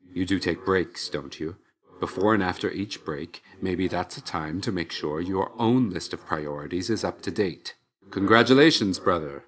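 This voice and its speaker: A man playing an aloof, dutiful young god of death in a video game, reserved and measured, with phrases trailing off quietly. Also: sits low. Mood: stern, then encouraging. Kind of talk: video game character voice acting.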